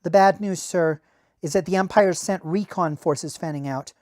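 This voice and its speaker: A woman's voice: on the low side, moderate pace, darkish tone, no room sound, studio quality, pitched above the performer's own voice, natural voice. A man voicing an alert female rebel soldier in an audiobook-style reading, reporting to a sergeant in urgent, clipped phrases. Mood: grim, urgent.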